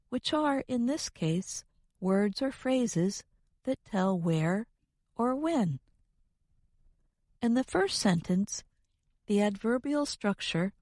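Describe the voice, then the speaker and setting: Female educational narrator, mid-pitched, slow scripted delivery, close dry sound. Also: a little mellow, no room sound. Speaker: grammar lesson presenter.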